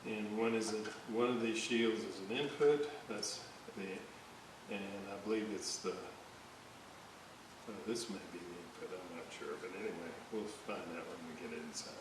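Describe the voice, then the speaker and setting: A man talks slowly and haltingly through a hands-on repair job. He sounds distant from the microphone in a very reverberant room, with a steady hiss under his voice. His commentary is casual, as if thinking aloud at the bench. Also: on the low side. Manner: hesitant, halting, thinking aloud while working. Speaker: electronics restorer narrating a repair video. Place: indoor room at a workbench. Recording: very echoey room, distant mic, clear hiss.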